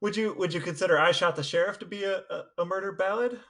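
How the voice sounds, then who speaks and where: Male podcast co-host, bright forward voice, slightly high, conversational. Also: fast talker. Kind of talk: casual chat.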